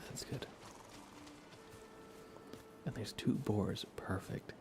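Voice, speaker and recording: Whispering low-voiced man, gaming ASMR. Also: drawn-out words, gravelly, over music. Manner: murmured, repeats phrases, playful mock grumbling. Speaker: livestreamer playing a video game.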